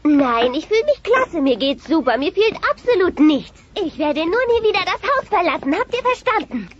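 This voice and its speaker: A woman's voice: very high-pitched, very animated, normal speed. A woman voicing a sulky, defensive twelve-year-old dinosaur daughter in a German family comedy audio play. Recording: noisy lo-fi cassette sound.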